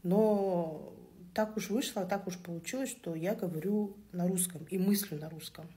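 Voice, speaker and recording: A woman's voice: lowish voice, moderate pace, warm tone. A woman whose native language is Kazakh, giving her opinion in a casual monologue, earnest with hesitant fillers.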